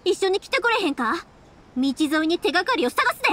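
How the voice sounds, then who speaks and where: A woman's voice: extremely high pitch, very animated, quick pace, sharp tone, heavily acted. A woman voicing a spirited, earnest young fireworks maker with a Kansai accent in a Japanese anime game. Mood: brisk, friendly. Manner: earnest, forthright, folksy. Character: spirited, warm-hearted young fireworks maker woman, bossy big-sister type. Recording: music behind the voice.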